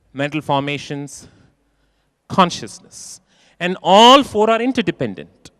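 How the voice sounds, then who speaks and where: A man giving a keynote talk in a large conference hall, expressive and acting out quoted voices, repeating phrases for emphasis. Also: fairly high pitch, clean tone, clean studio sound. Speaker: keynote speaker.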